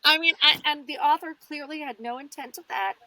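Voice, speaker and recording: A woman chatting casually as an interview guest, telling stories in an animated way and acting out her own remarks. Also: high voice, brisk, poor audio. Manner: animated, self-quoting storytelling. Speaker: guest audiobook narrator. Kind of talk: casual podcast interview chat.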